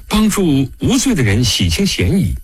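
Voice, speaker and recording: A man narrating an audiobook, voicing a sorrowful, devoted young woman prisoner who speaks softly, haltingly and pleadingly. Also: fairly high pitch, huge pitch swings, breathy edge, dry recording, pitched above the performer's own voice, heavily acted. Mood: quietly resolute.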